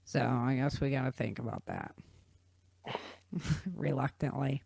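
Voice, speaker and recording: A woman's voice: rumbling low, slow, creaky, darkish tone, husky, no echo. Chatty, folksy woman podcast host. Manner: informal, folksy, enthusiastic. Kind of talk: casual explainer chat.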